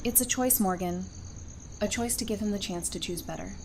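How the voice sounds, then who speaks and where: A woman's voice: mid-range voice, moderate pace, a little hiss or hum, close to an everyday voice. A woman playing a brave, compassionate female fighter in an audio drama. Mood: pleading.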